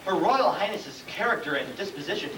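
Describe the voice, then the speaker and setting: A man playing a deferential, long-winded royal chancellor in a stage comedy, formal and halting as he picks his words. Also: high-pitched, animated, fairly bright tone. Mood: flustered, formal. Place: school stage, live play performance. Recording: distant, noisy, echoey room recording of play.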